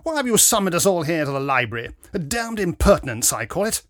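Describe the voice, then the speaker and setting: A man voicing a blustering, indignant army major with a British accent, harrumphing and loud, in a mystery story. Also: a little high, sing-song, fast talker, dry recording, clean studio sound, voice pushed up. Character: blustering, indignant English army major, old-chap type.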